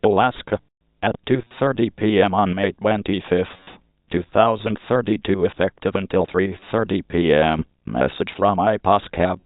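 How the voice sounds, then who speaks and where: A man's voice: low voice, normal speed, no echo. A man's synthetic-sounding voice reading a scripted civil emergency announcement in a formal, impersonal manner. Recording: muffled phone-like narrowband audio.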